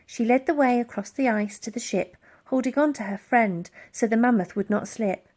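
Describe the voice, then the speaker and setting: A woman reading a children's story aloud in an expressive, dramatic storytelling style. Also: on the high side, moderate pace. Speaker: audiobook reader.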